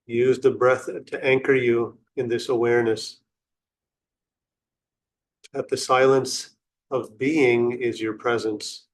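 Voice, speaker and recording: Man, meditation guide, very slow pace, flat intonation, long pauses, contemplative. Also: mid-range voice. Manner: unhurried phrases with long pauses; contemplative, instructional.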